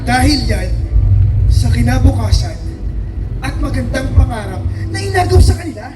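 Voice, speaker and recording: Earnest young friend played by a stage actor, high animated voice, distant, loud music behind. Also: moderate pace, roomy sound, over a noisy crowd. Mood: earnest, agitated. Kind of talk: theatrical stage acting.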